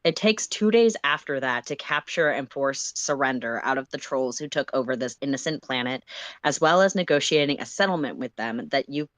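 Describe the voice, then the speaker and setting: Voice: medium pitch, a bit bright, no room sound. Close, dry-sounding story narrator. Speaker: story reader. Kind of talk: expressive fan fiction narration.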